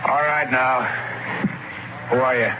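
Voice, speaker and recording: An actor playing a gruff, suspicious prospector father in a 1950s radio drama, talking in a blunt, folksy, commanding way. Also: medium pitch, steady tone, slow pace, lightly acted. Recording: noisy, muffled old radio recording.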